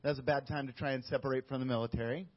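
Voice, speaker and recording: A middle-aged man, a missionary guest, telling his story in a casual interview with frequent pauses and fillers. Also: mid-range voice. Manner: steady, with many uh fillers and restarts. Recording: dull lo-fi audio.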